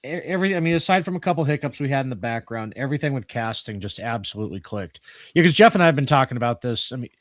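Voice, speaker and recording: A man's voice: medium pitch, animated, racing, no room sound. Man hosting casual chat, dry close sound. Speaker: host of a radio play group. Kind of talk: casual post-show interview chat.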